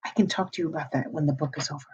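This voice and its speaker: A woman's voice: deep voice, very fast, slightly rough. A middle-aged woman teacher reading a storybook aloud to children and stopping to explain words. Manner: warm, explaining word meanings to children. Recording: slightly dull lo-fi recording.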